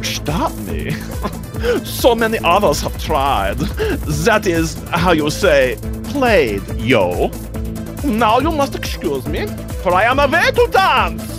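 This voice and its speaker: Campy German vampire, wildly swooping. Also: male voice, high-pitched, huge pitch swings, takes it slowly, heavily acted. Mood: boastful. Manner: theatrical, haughty, giggly, stilted English. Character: vain, campy, petulant male European vampire villain. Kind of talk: animated comedy game voice acting. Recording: music behind the voice.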